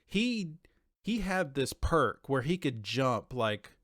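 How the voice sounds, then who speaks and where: A man's voice: medium pitch, big pitch swings, slow pace, a bit bright, no echo, clean studio sound. A man co-hosting a podcast, chatting casually and enthusiastically about video games in informal language. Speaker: podcast co-host.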